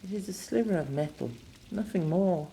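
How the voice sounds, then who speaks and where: Man voicing a high, soft-spoken resigned woman. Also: normal speed, gravelly, slight background noise, low-quality recording. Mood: pained, resigned. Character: pained, resigned gentle woman, not quite real.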